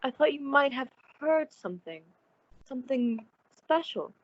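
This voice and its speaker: Radio suspense role, for an actress: uneasy society lady, theatrical and polite. Lively high female voice, slow delivery, muffled dry sound. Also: no room sound. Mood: uneasy curiosity. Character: genteel, sociable woman, uneasy concerned friend of the spinster. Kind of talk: old-time radio suspense acting.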